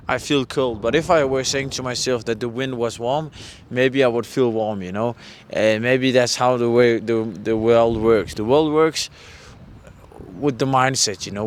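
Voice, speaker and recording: A man's voice: medium pitch, fairly bright tone. Man vlogging outdoors amid background noise. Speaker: vlogger, street interviewer. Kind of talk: casual chat to camera, questioning passers-by. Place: outdoors by a road in a mountain valley. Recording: windy, noisy outdoor roadside.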